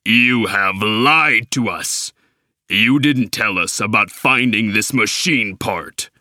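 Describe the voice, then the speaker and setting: A man's voice: deep voice, lively intonation, unhurried, sharp tone, no echo, clean studio sound, pitched below the performer's own voice, exaggerated voice. Man doing a grave giant robot leader. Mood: angry. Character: huge angry male robot leader, grave.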